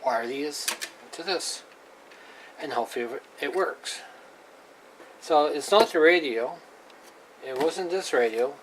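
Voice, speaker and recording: Slow-talking man, noisy recording. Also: mid-range voice. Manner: plain-spoken, halting, repeats himself. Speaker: hobbyist presenter demonstrating radio equipment. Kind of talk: casual unscripted hands-on demonstration. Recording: clearly noisy amateur recording.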